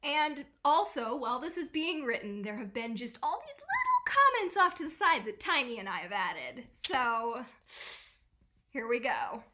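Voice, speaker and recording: A woman's voice: high-pitched, very animated, measured pace, sharp tone. A woman chatting casually as a co-host. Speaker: podfic co-creator and host. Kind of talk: casual chat endnotes.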